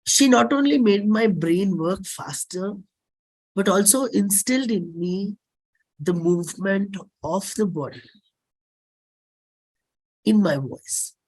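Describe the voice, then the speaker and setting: A woman with an Indian accent performs a dramatic reading of a personal stage script, speaking expressively in short, deliberate phrases with pauses. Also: mid-range voice, lively intonation, a little mellow, breathy edge, rough recording. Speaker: classical singer and stage performer.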